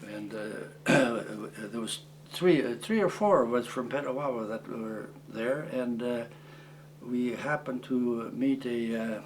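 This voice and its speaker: A man in his eighties, originally from Croatia, recalling his past in an oral history interview, speaking haltingly with many fillers and restarts. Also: medium pitch, a little hiss or hum. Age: 80s. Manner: plain, matter-of-fact storytelling. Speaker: war veteran interviewee.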